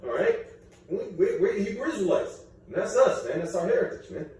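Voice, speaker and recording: A man with a dark, mellow voice speaks up emphatically in a casual group discussion. He sounds distant from the mic in a very echoey room. Faint ambient noise sits underneath. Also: high voice, big pitch swings, brisk. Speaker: class participant.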